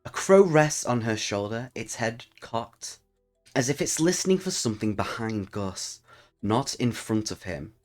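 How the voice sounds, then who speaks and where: A man's voice: medium pitch, expressive, measured pace, a little mellow, soft background music. A man hosting a solo tabletop roleplaying channel, speaking as a storyteller to his listeners. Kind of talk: storytelling narration and casual channel talk.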